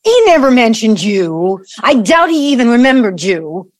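A woman's voice: fairly high pitch, sing-song, moderate pace. A woman acting in an audio play as a defensive, irritated grown daughter, curt, guarded and sarcastic. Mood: curt, suspicious.

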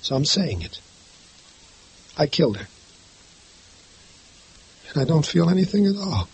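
An actor playing a condemned man awaiting execution, speaking quietly and flatly in a 1950s radio drama. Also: a little high, a little slow, noisy background. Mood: numb, hollow. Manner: quiet, flat, hollow. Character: condemned young man awaiting the electric chair, numb.

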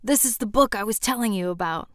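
A woman's voice: medium pitch, quick pace, fairly bright tone, dry recording, studio quality, close to an everyday voice. A woman voicing a jovial, pushy, talkative man in an audiobook, with a folksy, hearty manner. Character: jovial, pushy conservative man, a chatty host. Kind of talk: audiobook character dialogue.

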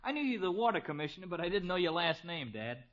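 Cocky teen jock, slangy, highish bright voice jumping all over, old radio sitcom. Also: male voice, sing-song, quick pace, heavy background noise. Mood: breezy, fumbling. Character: cocky, slangy teenage boy football star. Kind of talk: 1950s radio situation comedy acting. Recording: muffled old radio broadcast.

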